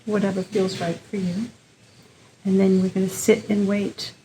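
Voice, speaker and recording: A woman leading an informal guided meditation, speaking gently in short, unhurried phrases with pauses between them. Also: medium pitch, level tone, slight background noise, low-quality recording.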